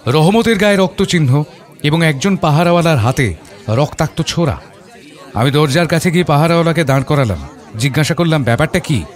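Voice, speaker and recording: A man narrating an audio story as a thoughtful father, in a measured, literary storytelling manner. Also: a little high, lightly acted. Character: thoughtful middle-class father telling his story.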